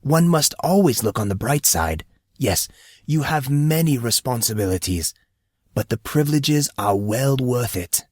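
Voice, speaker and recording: A man voicing a courtly, unflappable male attendant in an audiobook story, formal, a little pompous and gently teasing. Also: medium pitch, expressive, unhurried, no room sound, clean studio sound. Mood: cheerfully teasing. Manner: formal, pompous, precise wording.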